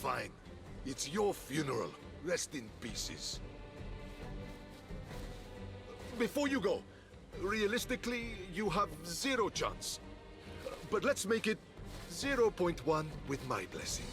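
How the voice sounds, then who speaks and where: An actor voicing a sulky, self-pitying war god trapped in a chicken's body, melodramatic and bitterly sarcastic, in a video game cutscene. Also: male voice, sits high, big pitch swings, a little slow, over music, exaggerated voice. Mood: grudging, sarcastic.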